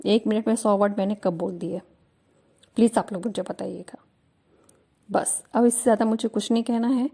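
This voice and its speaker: A young woman who teaches shorthand, reading a dictation passage in slow, measured word groups, then talking casually and firmly to her students. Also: medium pitch, steady tone, gravelly, mellow, breathy edge. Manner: measured, deliberate phrasing with pauses between word groups; firm, earnest, mildly aggrieved. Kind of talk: casual direct address to students.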